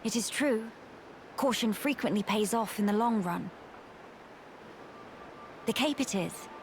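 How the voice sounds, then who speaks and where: A woman's voice: a little high, a little slow, a little hiss or hum. A woman playing a noble young woman in a video game, with formal, dignified phrasing. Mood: composed. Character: poised, noble young woman of high birth. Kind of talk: video game cutscene acting. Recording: music behind the voice.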